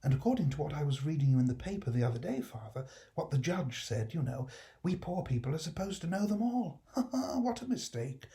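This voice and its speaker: A man voicing a gentle, cheerful young working-class woman, fondly teasing and eager, in a storybook reading. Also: on the high side, sing-song, a little fast. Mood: happy, proud. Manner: soft, delighted, eager.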